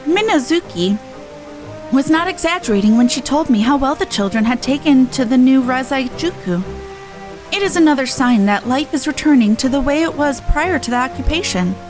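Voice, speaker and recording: A woman playing a dignified, gracious leader in a video game, speaking formally and with composure. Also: high-pitched.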